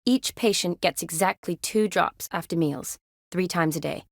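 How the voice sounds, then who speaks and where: An actress playing a young woman army medic in a video game, caring, playful and briskly practical, with a natural, teasing delivery. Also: fairly low pitch, no echo, studio quality. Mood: businesslike. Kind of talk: video game character dialogue.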